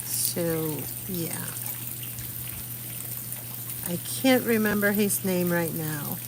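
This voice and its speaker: A woman's voice: on the low side, very slow, noisy background. A middle-aged woman from Puerto Rico chatting casually outdoors during a live stream, rambling as she searches for words. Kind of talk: casual chat while painting. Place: outdoors under an umbrella.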